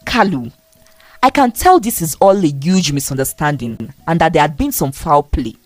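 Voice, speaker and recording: A woman storyteller voicing a calm middle-aged woman mentor, measured and persuasive. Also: sits low, no room sound, faint music behind. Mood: calm, urging.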